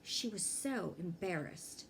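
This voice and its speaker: A woman reading a children's story aloud in an expressive way, pausing to check in with young listeners. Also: fairly low pitch, vocal fry, husky, rough recording. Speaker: fire inspector reading a children's book aloud.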